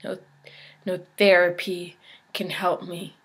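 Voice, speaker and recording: A woman performing a dramatic monologue as a despairing young woman, speaking in a halting, emotional confession. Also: on the low side, little pitch movement, breathy edge, heavily acted, poor audio. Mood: hopeless, resigned. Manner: halting, emotional, swinging from hushed to anguished. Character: despairing suicidal young woman baring her pain in confession.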